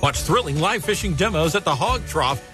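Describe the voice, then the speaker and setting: High-pitched, lively male promo announcer. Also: normal speed. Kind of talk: event promo radio ad read. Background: music.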